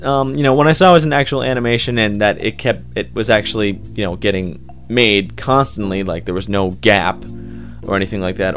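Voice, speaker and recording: A man with a fairly low voice answers interview questions as a hobbyist voice actor. He talks in an informal, rambling, enthusiastic way, unscripted. Soft guitar music plays behind him. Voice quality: loud voice. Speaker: amateur voice actor being interviewed.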